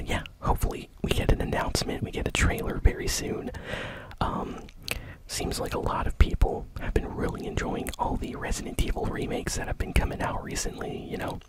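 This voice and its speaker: A man whispering casual gaming news as an ASMR host, breathy and informal, rambling a little. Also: very high-pitched, poor audio.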